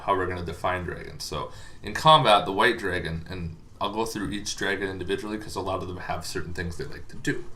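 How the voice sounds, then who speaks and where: A man's voice: fairly low pitch, pushed and bright. A man hosting a show on tabletop gaming lore, explaining things informally and in a rambling way to viewers. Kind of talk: casual explainer talk to viewers.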